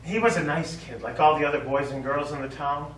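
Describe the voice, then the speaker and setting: An actor on stage playing an aging, apologetic American war veteran, hesitant and earnest, turning defensive. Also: male voice, on the high side, fairly even intonation, a little fast, a bit bright, close to an everyday voice. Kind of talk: stage play acting. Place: small theatre studio, recorded from afar. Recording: echoey, distant stage recording with hiss.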